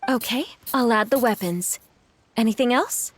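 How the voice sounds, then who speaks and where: A woman playing a gentle, polite and devoted mother in a video game, speaking in a courteous, measured, encouraging way. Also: a little high, music in the background, natural voice. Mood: attentive. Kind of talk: video game character acting.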